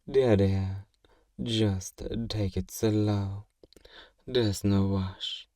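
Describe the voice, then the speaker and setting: A man playing a kind, courteous vampire gentleman in an ASMR roleplay, speaking gently and reassuringly to the listener in an old-fashioned, genteel way. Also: fairly low pitch, dry recording, clean studio sound. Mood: gentle, comforting. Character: kind, wealthy, genteel male vampire owner, soothing and possessive.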